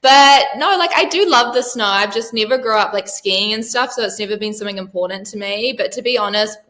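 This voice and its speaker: A woman in her thirties from New Zealand talks through her answers in an easy, chatty way. She leans on "um" and "like" as she goes. Her voice is bright and clear, set at a medium pitch. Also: brisk. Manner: chatty, frequent "um" and "like". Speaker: interview guest, yacht crew member. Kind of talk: casual interview answers.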